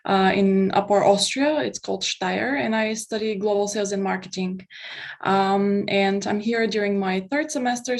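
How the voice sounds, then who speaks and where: Halting young woman presenting online. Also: mid-range voice, gravelly. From Bulgaria. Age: young adult. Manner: hesitant, halting delivery. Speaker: exchange student presenter. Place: indoors, online video call.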